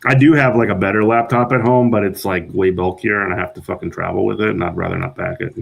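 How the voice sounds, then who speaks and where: A man's voice: low voice, steady tone, quick pace, vocal fry, slightly rough, low-quality recording. Laid-back swearing male streamer. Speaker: stream co-host. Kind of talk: casual livestream chat. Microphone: laptop setup on the road.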